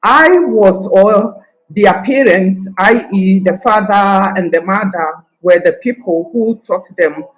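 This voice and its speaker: An older woman from Ghana with a Ghanaian Ashanti accent touched by Scottish, telling of her years as a teacher in a confident, deliberate way. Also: mid-range voice, poor audio. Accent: Ghanaian, Ashanti (Akan) with some Scottish. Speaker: guest, retired teacher. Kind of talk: interview conversation.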